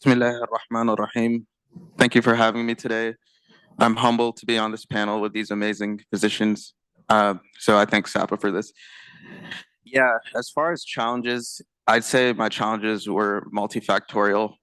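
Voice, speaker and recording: A young man with a bright, smooth voice and low pitch, talking through his experience on a panel. He speaks casually, full of fillers. The sound is dry and close. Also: flat delivery, moderate pace, dry recording, rough recording. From United States. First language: not Arabic. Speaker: panelist, internal medicine physician. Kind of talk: conference panel talk.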